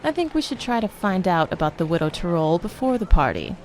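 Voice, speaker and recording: A woman's voice: lowish voice, sing-song, a little fast, darkish tone, a little hiss or hum. A woman voicing a gracious, silver-haired female cleric with polite, formal speech.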